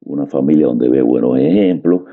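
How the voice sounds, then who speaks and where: A middle-aged man with a Cuban Spanish accent and a very low, husky voice with a gravelly edge. He talks in an unhurried, reflective monologue, often pausing to hesitate. The recording sounds dull and lo-fi. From Cuba. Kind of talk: personal monologue.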